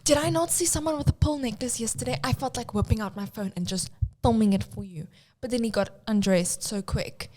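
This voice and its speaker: Female podcast co-host, higher-pitched, animated and opinionated, casual group chat. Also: fairly quick, few pauses.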